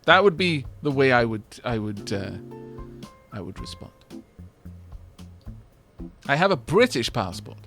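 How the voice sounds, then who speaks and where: A man streaming a game, bantering with chat in an animated, rambling, self-deprecating way, with faint music behind. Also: mid-range voice, measured pace, pauses often. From Wales.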